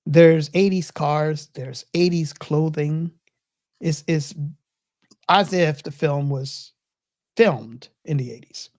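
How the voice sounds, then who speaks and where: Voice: mid-range voice, takes it slowly, a little mellow. A middle-aged podcast co-host of unclear sex, chatting casually about films and giving opinions in a conversational way. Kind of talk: casual film discussion.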